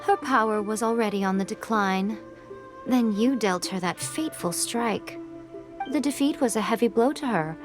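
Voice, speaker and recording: Casting: woman as elegant game priestess, medium pitch, self-assured, sly, teasing, haughty, light music underneath. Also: close to an everyday voice. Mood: mock sorrow. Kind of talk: video game voice acting.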